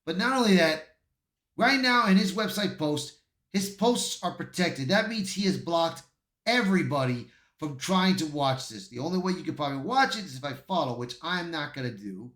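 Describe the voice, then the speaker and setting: Echoey, higher-pitched man giving commentary. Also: animated, moderate pace, a bit bright. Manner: stumbling over words when reading. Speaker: YouTube commentator. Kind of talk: casual commentary, reading an article aloud with asides. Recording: roomy, echoey voice.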